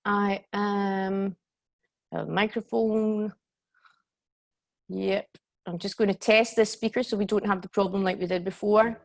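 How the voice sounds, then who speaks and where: A woman's voice: medium pitch, fairly even intonation, a little slow, vocal fry, long pauses. Glasgow lady in her 40s running a zoom yoga workshop, chatty, keeps saying okay and guys, computer mic. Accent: Glaswegian. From Scotland. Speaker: yoga teacher and workshop host. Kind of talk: live online workshop talk. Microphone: computer mic on a video call.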